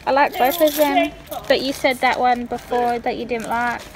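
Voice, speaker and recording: A woman's voice: a little high, steady tone, normal speed, noisy background. Chatty Australian woman vlogging on phone. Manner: chatty, addresses viewers as guys. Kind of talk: casual vlog to camera. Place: hardware store and car. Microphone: phone at arm's length.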